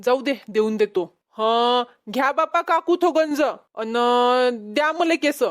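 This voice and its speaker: Woman acting a high-pitched, whiny cartoon pot peddler. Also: slow. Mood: resigned. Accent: Vidarbha Marathi. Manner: whiny, protesting, meekly deferential. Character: put-upon male village pot peddler, nervous and complaining. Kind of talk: exaggerated cartoon comedy acting.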